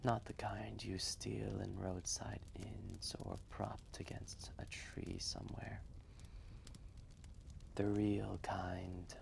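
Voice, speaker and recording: A man voicing an ancient, gentle, wistful dragon telling a fireside roleplay story in a soft, breathy, measured and gravelly voice. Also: lowish voice, level tone, a little hiss or hum, exaggerated voice. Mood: soothing, protective. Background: quiet fireplace ambience.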